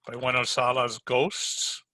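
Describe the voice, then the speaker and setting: A man's voice: medium pitch, level tone, slow, pushed and bright, no room sound. A man playing a wisecracking, sardonic private detective in an old-time radio drama, slangy and needling. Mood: sarcastic, probing. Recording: home recording over video call.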